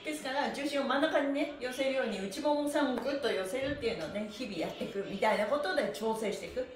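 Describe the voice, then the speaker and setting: A middle-aged woman hosting a livestream stretching class, chatty and encouraging, with an energetic, sing-song way of instructing. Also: sits high, fast talker, soft background music. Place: small bare-walled indoor studio room. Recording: roomy echo, mic a little away.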